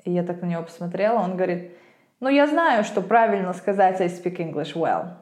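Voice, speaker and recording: A woman from Russia, an English teacher co-hosting a podcast, telling stories in an animated, emphatic way. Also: lowish voice, fairly quick. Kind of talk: casual podcast chat. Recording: roomy echo.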